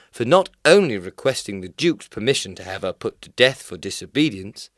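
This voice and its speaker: Male actor as newspaper reporter, deep-ish voice, dry studio sound, measured, formal, wryly mocking, scripted radio play. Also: dry recording, close to an everyday voice. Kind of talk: scripted satirical news reading.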